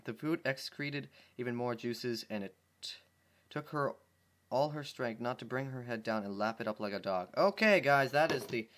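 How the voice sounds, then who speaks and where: A man's voice: mid-range voice, normal speed, a bit bright. A man narrating fan fiction as an amateur reader, informally, with exasperated casual asides and stumbles over his words.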